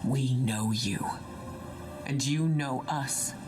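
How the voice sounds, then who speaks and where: Resolute commander under very loud music. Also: female voice, rumbling low, slow. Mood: reassuring. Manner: firm, reassuring. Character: steady female commander, caring and resolute. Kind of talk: video game cutscene acting. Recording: distant game audio under very loud music.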